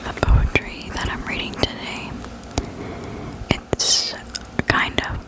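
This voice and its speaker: Casting: soft ASMR reader, breathy whisper throughout, unhurried and soothing delivery, close on the mic. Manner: slow, gentle, soothing. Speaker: host of an ASMR reading channel. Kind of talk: whispered ASMR, poetry reading. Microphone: close desktop condenser microphone.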